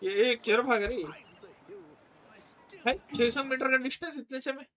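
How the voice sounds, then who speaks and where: A man's voice: very high voice, rapid-fire, bright, forceful tone. A man with an Indian accent giving casual live commentary as a game streamer.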